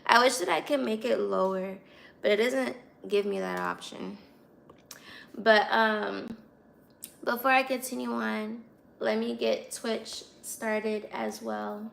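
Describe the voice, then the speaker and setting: A young American woman hosts a casual livestream in a raspy, slightly hoarse voice. Her pitch sits fairly high, with a bright, forward edge and plenty of crackly fry. She speaks directly to viewers in relaxed slang. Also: takes it slowly. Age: 20s. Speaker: livestream host.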